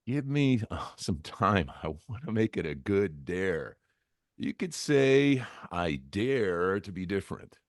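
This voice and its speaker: A man's voice: on the low side, animated, takes it slowly, no room sound, clean studio sound. A man reading the part of a cocky, impatient reality show contestant at a script table read, blunt and teasing.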